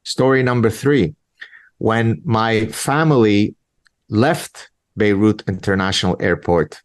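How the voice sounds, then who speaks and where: A man's voice: lowish voice, slow. A man from Lebanon, a native Arabic speaker in his fifties, answering in an interview in an emphatic, lecturing way with rhetorical questions. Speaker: guest commentator.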